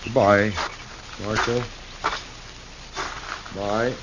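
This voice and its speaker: A simple, heartsore American rancher, low-voiced and very slow. He is halting and plain in his words. It sounds like 1950s radio western acting, on a hissy old broadcast. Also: level tone. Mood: subdued, grateful.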